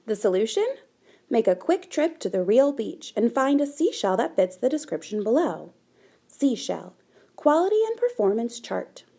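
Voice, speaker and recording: A woman reading a children's picture book aloud in an animated, deliberate way with dramatic emphasis. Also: a little high, gravelly. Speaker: storybook reader.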